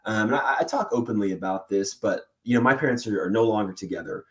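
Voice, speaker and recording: A man who works as a voice actor, telling stories in a casual interview with animated, excitable energy and frequent laughter. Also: on the low side, quick pace. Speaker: voice actor guest.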